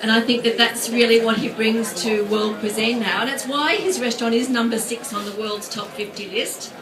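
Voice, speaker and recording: A woman's voice: fairly high pitch, fairly even intonation, moderate pace. A woman hosting a live restaurant event, chatty and lightly laughing, with filler ums. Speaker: event host. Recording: distant mic, crowd noise.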